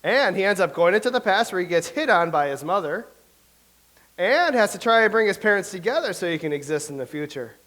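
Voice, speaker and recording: A self-pitying playwright out of an older age, played by a man, mourns his failures. His voice sits high and swoops up and down. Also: very animated, a little fast, a bit bright. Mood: mopey. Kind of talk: staged play reading.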